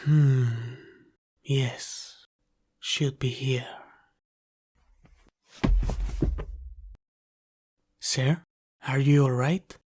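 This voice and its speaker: A man playing a polite, caring dragon butler in an ASMR roleplay, speaking formally, gently and deferentially. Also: mid-range voice, level tone, drawn-out words. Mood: helpful, concerned. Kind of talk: ASMR roleplay, soft scripted character acting.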